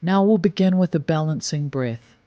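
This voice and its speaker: A man leading a guided meditation in a slow, soft, soothing manner, gently counting breaths. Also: fairly high pitch, dry recording, highs cut off.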